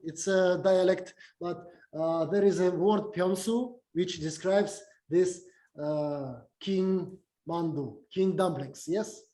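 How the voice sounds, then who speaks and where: Slow, high-voiced man, Russian first language. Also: mellow, reverberant. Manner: halting, many fillers and restarts. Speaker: lecturer. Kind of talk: academic talk with slides.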